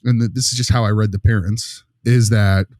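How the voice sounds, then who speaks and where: A man with a fairly low voice argues his view in a loose, conversational discussion. He is emphatic and animated, often checking in with a quick "right?", and the sound is close and dry. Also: no room sound. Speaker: panelist discussing a behavioral case study. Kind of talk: casual opinionated discussion.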